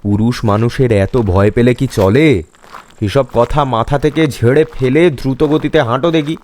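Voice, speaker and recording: A man voicing a confident young man from town who brushes off fear in an animated horror story. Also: medium pitch, moderate pace, close to an everyday voice. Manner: assured, dismissive of fear.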